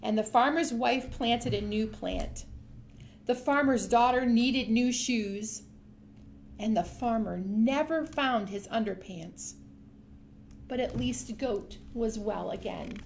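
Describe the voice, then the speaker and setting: Slow, expressive woman reading to young children. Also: on the high side, creaky, slight background noise. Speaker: children's librarian, storyteller. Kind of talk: children's storybook read-aloud.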